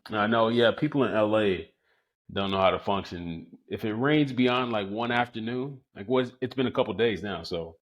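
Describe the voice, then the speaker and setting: American male podcast host, low voice, conversational interviewing style. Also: moderate pace. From small town in the United States, outside Los Angeles.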